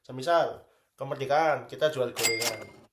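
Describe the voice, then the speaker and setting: Young woman, Indonesian accent, extremely low pitch, bright forward tone, casual co-host talk, echoey room. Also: moderate pace, very noisy. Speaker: student association events division head and co-host. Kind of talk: casual group podcast chat. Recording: roomy, echoing room sound.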